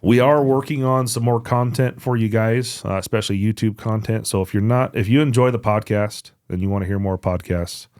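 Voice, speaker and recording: A man with a low-pitched voice speaks on a dry, close recording. He co-hosts a podcast and runs through product news in an informal, conversational style, as if talking straight to regular listeners. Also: fairly quick, hardly any pauses, a little mellow, dry recording. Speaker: podcast co-host. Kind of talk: casual podcast product update.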